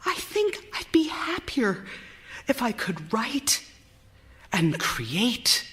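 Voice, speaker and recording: A worn-out, anxious young wife in period radio theater. Her voice sits fairly high and moves very slowly, with an intense, halting delivery that slips toward hushed confiding. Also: very animated, full character voice. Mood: distressed, pleading. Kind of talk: period radio theater acting, monologue and dialogue. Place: theater stage, live performance.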